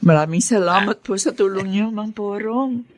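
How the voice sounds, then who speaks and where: A woman playing a gentle, long-suffering mother in a Filipino radio drama, tender and emotional. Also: medium pitch, moderate pace, dry recording, natural voice. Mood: humble.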